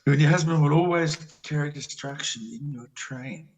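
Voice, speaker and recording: A man playing a disillusioned, lovelorn country doctor in an amateur play reading, with a husky voice and a theatrical, halting delivery. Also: fairly high pitch, recorded from a distance, natural voice, poor audio. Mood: reproachful.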